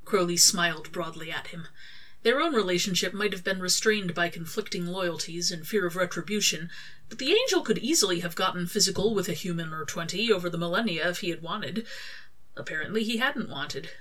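A woman's voice: fairly low pitch, expressive, fairly quick, a bit bright. A woman narrating her own scripted fiction as an audiobook-style reading. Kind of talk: scripted story narration with acted dialogue.

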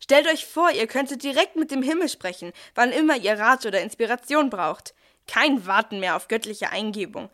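A woman playing a brisk, managing archangel who narrates a nativity audio play. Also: on the high side, expressive, fairly bright tone. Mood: salesy, upbeat.

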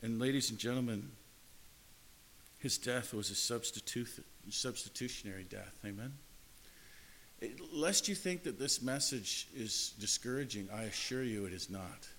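A man's voice: mid-range voice, moderate pace, fairly bright tone, slight background noise. A man preaching a sermon in an earnest way, often repeating and restarting his words. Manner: earnest, repetitive phrasing with frequent restarts.

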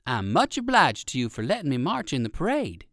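A man voicing a polite, curious old gentleman rabbit in a children's storybook, expressive and kindly. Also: on the high side, brisk, dry recording, studio quality, full character voice. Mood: polite, wistful.

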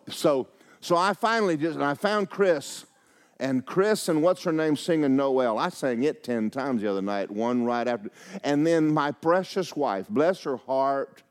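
An older man preaching a sermon, telling personal stories in a folksy, animated way with many restarts and acted-out quotes. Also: medium pitch. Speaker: pastor.

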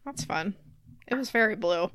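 A woman's voice: mid-range voice, animated, normal speed, a bit bright, no room sound. A woman co-hosting a podcast in casual banter, with a giggly, playful manner. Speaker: podcast co-host.

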